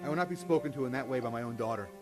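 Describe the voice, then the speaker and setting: A man's voice: medium pitch, very fast, over music, lightly acted. A man playing a stern scolding reverend father. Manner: stern, scolding, rising to shouting. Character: stern controlling middle-aged reverend father. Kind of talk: amateur audio drama acting.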